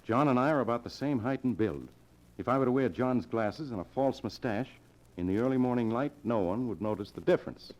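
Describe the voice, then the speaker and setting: An actor playing a cold, controlling younger man who plots murder, in the deliberate, insistent style of 1940s radio drama. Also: medium pitch, expressive, darkish tone, natural voice. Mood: coolly scheming. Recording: dull old radio broadcast recording.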